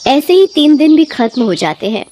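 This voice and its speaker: A woman's voice: sits high, very animated, moderate pace, mellow. A woman narrator reading a scripted moral bedtime story.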